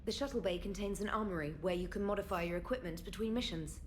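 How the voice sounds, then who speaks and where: A woman plays an efficient military crew specialist in a game cutscene. She is friendly and orderly, mid-pitched, with faint background noise. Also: fast talker, close to an everyday voice. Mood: helpful. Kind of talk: video game cutscene acting.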